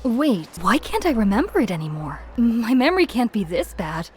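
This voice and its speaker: A woman's voice: a little high, sing-song, normal speed. A woman voicing a forgetful, mild-mannered villager in a fantasy game, musing conversationally. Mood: puzzled. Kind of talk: game dialogue acting.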